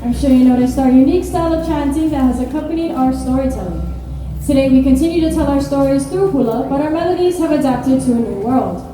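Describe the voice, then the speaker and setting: A woman's voice: high-pitched, lively intonation, fast. A woman presenting a live hula show in formal, welcoming stage announcements. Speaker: show presenter at a cultural center. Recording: echoey, from far off, noisy crowd venue.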